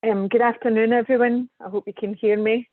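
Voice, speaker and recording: A woman with a Scottish accent, presenting a webinar as an engineer, measured and full of ums. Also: on the high side, fairly even intonation, dry recording. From Scotland. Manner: measured, hesitant with frequent ums. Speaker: guest speaker, civil engineer. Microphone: video call. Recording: tinny dry online call audio.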